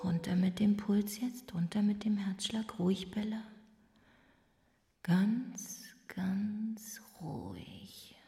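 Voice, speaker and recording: An actress playing an agile, sardonic burglar who talks drily to herself in an audio drama. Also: female voice, medium pitch, expressive, slow pace, creaky, dark tone. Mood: hushed, focused. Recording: music behind the voice.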